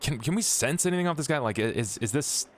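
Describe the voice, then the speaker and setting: A man playing a tabletop game, chatting casually and in character, halting and repeating words as he goes. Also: medium pitch, expressive.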